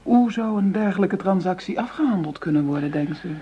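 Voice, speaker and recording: An actress playing a cool, seductive dealer in shady money deals, poised and teasing, in a radio drama with some background noise. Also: female voice, lowish voice, lively intonation, a little fast, mellow, husky, dull audio. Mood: poised, probing.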